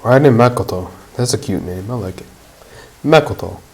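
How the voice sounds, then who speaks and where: A woman's voice: a bass voice, lively intonation, normal speed, darkish tone, slightly rough. A woman reacting casually to a video game as she streams, with informal, wry asides. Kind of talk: casual live gameplay reaction.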